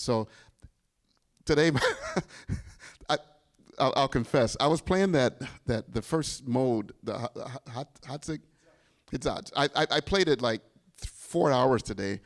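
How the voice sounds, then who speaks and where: A middle-aged man, a bandleader and composer, talking casually and animatedly to a live audience, often restarting his sentences. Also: medium pitch.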